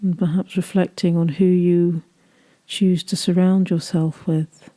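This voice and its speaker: Woman, meditation guide, very warm and relaxed tone, fairly flat intonation, gentle soothing delivery, soft word endings. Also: lowish voice, unhurried, low-quality recording.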